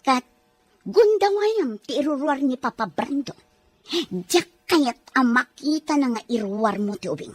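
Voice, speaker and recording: A woman's voice: high-pitched, animated, unhurried, slightly rough, no echo, soft background music, full character voice. A woman acting as a scheming, commanding rich mistress who threatens her nanny in a drama. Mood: menacing, commanding. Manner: menacing, commanding. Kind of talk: Ilocano radio-style drama acting.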